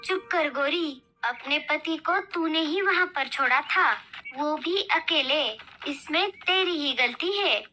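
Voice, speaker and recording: Woman as a sharp, scolding bird aunt. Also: high voice, lively intonation, slow, faint music behind, full character voice. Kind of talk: Hindi children's cartoon dialogue.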